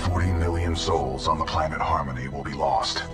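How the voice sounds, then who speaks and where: Giant war robot, extremely deep, formal, deadpan, calm, video game, loud music behind. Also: male voice, normal speed. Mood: calm, alert. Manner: formal, deadpan, clipped technical statements. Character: giant military robot titan, loyal, calm, logical. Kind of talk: scripted video game dialogue.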